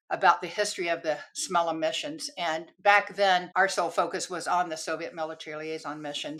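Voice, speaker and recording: An older woman, a retired army counterintelligence agent, telling stories from her career as a guest on a podcast. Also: fairly low pitch. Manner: lively, storytelling, quick to laugh.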